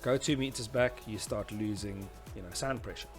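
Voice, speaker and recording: A man with a fairly deep voice talks through an instructional presentation. He explains in an informal, slightly halting lecture style. Faint music sits behind his voice. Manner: hesitant, explanatory, often saying "you know". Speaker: instructor presenting a tutorial series.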